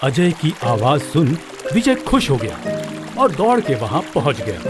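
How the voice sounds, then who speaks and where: A man narrates a children's tale at a slow pace. His medium-pitched voice rises and falls expressively, with music playing behind him. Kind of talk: scripted children's story narration.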